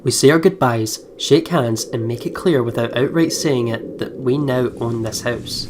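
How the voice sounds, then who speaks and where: A man's voice: mid-range voice, moderate pace, a little mellow, a little hiss or hum. A man doing let's play commentary and reading a game's text aloud in a chatty, reactive way. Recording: music behind the voice. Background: game music.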